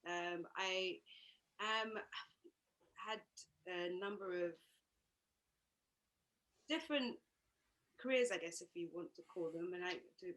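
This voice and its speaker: A woman's voice: fairly low pitch, slow, long pauses, fairly bright tone, low-quality recording. Hesitant woman. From Uganda. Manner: thoughtful, hesitant, many ums and restarts. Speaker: yoga teacher. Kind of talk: interview answers.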